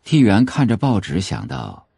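A man's voice: fairly low pitch, wildly swooping pitch, moderate pace, vocal fry, warm tone, husky, no room sound. A man reading scripted narration for an audio drama, telling the story as a novel's narrator. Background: faint music.

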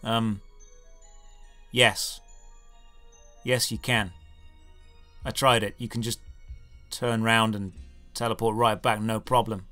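A man's voice: lowish voice, level tone, slow pace, long pauses, bright, forceful tone. A man doing scripted comedic game commentary, sarcastic and theatrical in his emphasis. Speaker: game reviewer and video host. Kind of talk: scripted comedic commentary over gameplay footage. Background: game background music.

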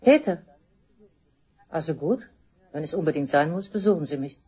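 A woman playing a reserved, formal older doctor with a husky voice in a crime radio play. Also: low-pitched, expressive, moderate pace, darkish tone. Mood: guarded, curt.

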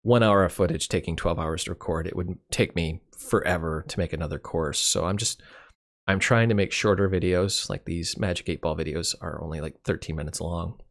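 A middle-aged man hosting his own channel, talking casually and candidly through his year, his voice hoarse and cracking. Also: deep voice, brisk. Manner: candid, rambling, wry. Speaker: YouTube channel host. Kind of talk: casual year-in-review monologue.

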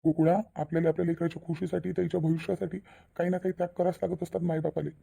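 A man voicing a gentle, self-sacrificing village father and husband in a cartoon, speaking in a consoling way. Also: fairly high pitch, little pitch movement, rapid-fire, vocal fry, slightly rough, full character voice. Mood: earnest. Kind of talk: cartoon voice acting.

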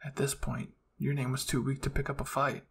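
A man's voice: medium pitch, little pitch movement, brisk, creaky, slight background noise. A man reading scripted fan fiction aloud as a storyteller. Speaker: storyteller, channel host.

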